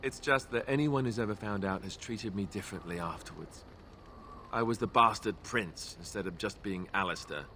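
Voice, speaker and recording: An actor playing an awkward, self-deprecating young warrior and reluctant heir in a fantasy video game, speaking in a hesitant, earnest, apologetic way. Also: male voice, lowish voice, normal speed, sharp tone, slight background noise. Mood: anguished, apologetic.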